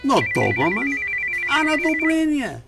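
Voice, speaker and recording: An eager Italian diner owner in a campy radio play. The voice is pitched up high, cheerful and excitable, chattering in broken English. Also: male voice, a little slow, gravelly, over music, full character voice. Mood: chatty, gossipy.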